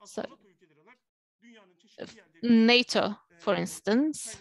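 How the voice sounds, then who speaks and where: Woman interpreter, fairly high voice, halting delivery with fillers, video call audio. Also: animated, vocal fry. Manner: hesitant, frequent fillers.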